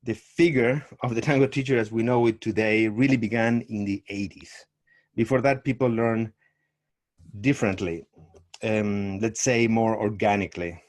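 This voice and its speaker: A middle-aged man from Argentina, a tango teacher speaking over a video call in a measured, thoughtful way with frequent pauses. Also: lowish voice, fairly even intonation. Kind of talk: online summit interview, presenting.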